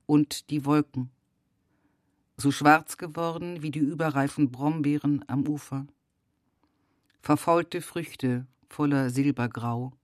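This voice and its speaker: An actor playing a solemn man who speaks a poetic, portentous radio play monologue in a measured way. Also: on the high side, fairly even intonation, no echo, studio quality. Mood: ominous, solemn. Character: solemn man telling of an ominous otherworldly storm.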